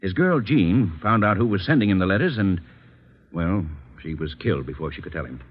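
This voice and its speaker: An actor playing an earnest, dignified older politician and former judge, speaking gravely and deliberately in an old radio drama. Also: male voice, deep voice, lively intonation. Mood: troubled. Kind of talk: 1940s radio acting. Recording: dull old radio broadcast recording.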